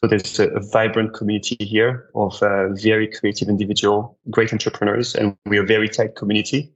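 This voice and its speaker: French-accented man, low, muffled. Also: little pitch movement, fairly quick. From France. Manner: earnest, repeats words while thinking. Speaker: guest, marketing director. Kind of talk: podcast interview answer.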